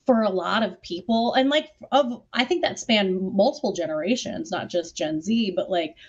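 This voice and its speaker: A woman talks casually and eagerly as a panelist on a video call. Her voice sits at a medium pitch, and her phrasing is informal and chatty. The sound is dull and lo-fi. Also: normal speed, slightly rough. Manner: enthusiastic, informal, peppered with "like". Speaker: theater artist on a panel. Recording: dull, lo-fi online call audio.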